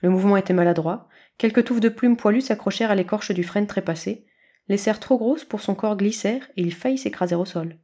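A woman reading a scripted story aloud as an expressive narrator. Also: mid-range voice, brisk, husky. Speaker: podfic reader.

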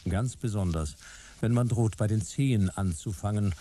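An actor playing the wry male narrator of a detective radio drama, delivering scripted lines in a dry, clipped, deadpan way. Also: lowish voice, moderate pace, slight background noise, natural voice.

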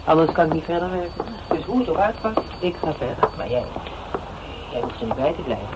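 An actor playing a stubborn, determined amateur sleuth in a Dutch radio crime drama, talking bluntly and colloquially. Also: male voice, fairly high pitch, very animated, brisk. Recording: noisy old radio drama recording.

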